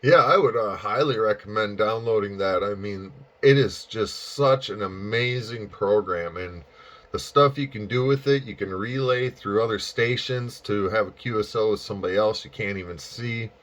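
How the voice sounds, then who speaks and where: A man with a slightly low voice talks as a ham radio hobbyist in a casual on-air chat. He is enthusiastic and pauses often to find his phrasing. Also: a bit bright. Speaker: amateur radio operator.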